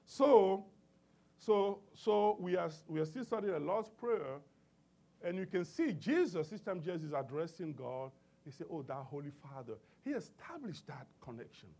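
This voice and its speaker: Husky, lively-voiced male preacher. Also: high-pitched, normal speed. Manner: emphatic call-and-response preaching with many restarts. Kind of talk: sermon.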